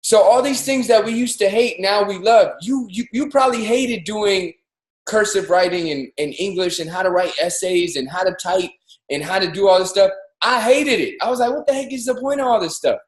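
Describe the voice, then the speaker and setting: A man in his thirties giving an energetic, preachy motivational talk as co-host of a livestreamed show, pushing his voice up on key points. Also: sits high, normal speed, echoey room. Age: 30s. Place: home in Miami, livestreamed call. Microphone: video call.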